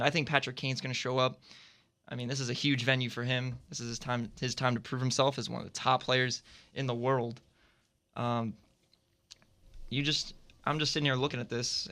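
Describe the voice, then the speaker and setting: A man's voice: mid-range voice, a bit bright, clear, resonant tone. A man giving firm opinions and predictions as a panelist on a roundtable sports radio show, recorded in a studio.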